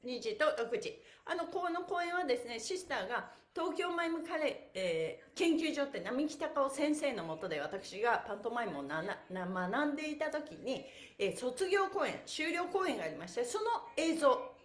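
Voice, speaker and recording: A middle-aged woman leads a livestreamed exercise lesson in a high, very animated voice. She is cheerful and exclamatory, chatting as she instructs. The sound is roomy, with audible echo from the indoor space. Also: normal speed. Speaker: stretch class host, pantomime performer. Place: small bare-walled room indoors.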